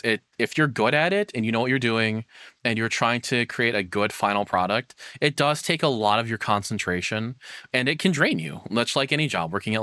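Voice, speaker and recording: A man's voice: fairly low pitch, bright, forceful tone, dry recording, studio quality. A young man giving casual, opinionated reaction commentary, exclaiming and swearing as he goes. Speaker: reaction video host.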